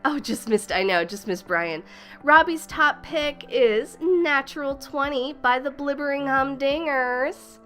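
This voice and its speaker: Bright-voiced woman hosting, a bit stuffy. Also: high voice, a little slow, soft background music. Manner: chatty, upbeat, greets viewers by name and laughs often. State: slightly stuffy from a cold. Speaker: livestream host. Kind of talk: casual livestream hosting.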